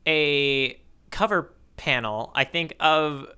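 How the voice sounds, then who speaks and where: A man's voice: a little high, slow, sharp tone, clean tone. A man co-hosting a podcast, casually discussing in a musing, playful way and often checking in with "right?". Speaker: podcast co-host.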